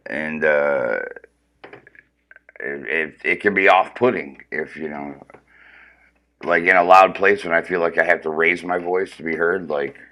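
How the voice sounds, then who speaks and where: A man's voice: extremely low pitch, measured pace, pauses often, bright, forceful tone. A man with a powerful voice, ranting casually and profanely with lots of restarts during a comedic livestream. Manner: profane, rambling, restarts often.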